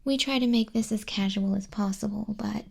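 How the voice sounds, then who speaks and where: A woman playing a smug demon clerk in an ASMR roleplay, soft-spoken and often whispering, with a breathy, husky, condescending and teasing delivery. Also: medium pitch, moderate pace. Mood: dryly stern. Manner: condescending, teasing, dryly bureaucratic. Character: smug female demon clerk registering sinners in hell.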